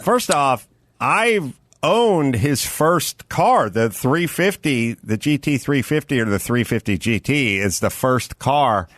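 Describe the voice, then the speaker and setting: A man's voice: mid-range voice, animated, slow pace, fairly bright tone, dry recording, clean studio sound. A middle-aged man hosting a podcast, joking and rambling in casual chat. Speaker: podcast host.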